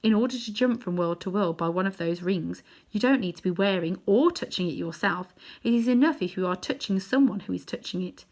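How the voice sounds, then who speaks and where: A woman reading a children's novel aloud in chapters, adding gentle asides to her listeners. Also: medium pitch, a little fast, hardly any pauses. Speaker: storybook reader.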